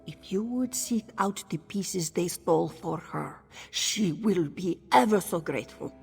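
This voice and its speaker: A woman's voice: lowish voice, expressive, measured pace, gravelly, darkish tone, breathy edge, heavily acted. A synthetic woman voice as a proud cat-folk townswoman. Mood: eager. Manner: speaks of herself in third person. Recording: reverb with game music behind. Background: game music.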